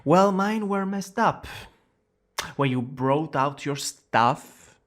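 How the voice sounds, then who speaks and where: A man's voice: on the high side, lively intonation. A man playing a smug, dramatic young villain student who is secretly smitten, in an ASMR romance roleplay. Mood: sheepish, resigned. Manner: dramatic, drawn-out boasting that slips into breathy whispers and flustered exclamations. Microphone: close studio-style microphone.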